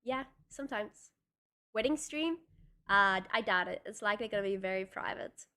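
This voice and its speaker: A woman's voice: mid-range voice, lively intonation, moderate pace, bright, forceful tone, smooth. A young woman from South Africa, a native Afrikaans speaker with a South African accent, chatting casually on a livestream, rambling with lots of fillers and restarts. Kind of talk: casual livestream chat reading viewer comments.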